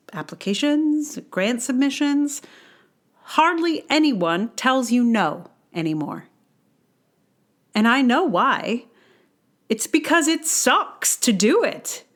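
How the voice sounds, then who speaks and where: A woman's voice: a little high, big pitch swings, takes it slowly. A woman hosting her own podcast, sharing personal reflections in a conversational, wry and self-deprecating way. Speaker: podcast host and audio drama producer. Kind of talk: personal essay read aloud, then casual aside.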